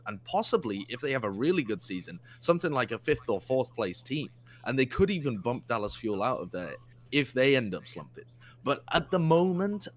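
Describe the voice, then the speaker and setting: A young man co-hosting an esports chat, laying out measured, reasoned analysis with some dry humor. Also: medium pitch, a bit bright. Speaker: co-host analyst.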